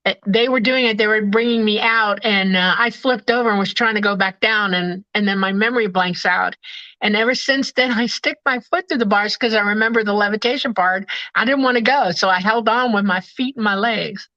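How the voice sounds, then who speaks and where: A woman's voice: mid-range voice, a little fast, pushed and bright, dry recording, highs cut off. A woman with Swedish as her first language, telling her story earnestly on a video call with hesitant restarts. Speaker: guest. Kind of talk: casual video call conversation.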